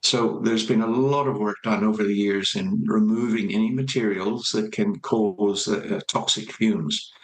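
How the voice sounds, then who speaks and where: A man giving explanatory expert commentary in a news interview, speaking in a halting, measured way. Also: on the low side, steady tone, echoey room, slight background noise, rough recording. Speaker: aviation expert being interviewed.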